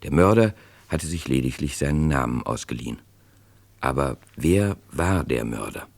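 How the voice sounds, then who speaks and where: A man narrating a true crime radio play as a sober storyteller, scripted and measured, building suspense. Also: very deep voice, expressive, heavily acted. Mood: sober narration.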